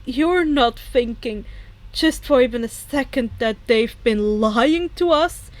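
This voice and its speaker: A woman voicing an agitated, distrustful male superhero from a story, loud and indignant. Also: on the high side, slow. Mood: disbelieving.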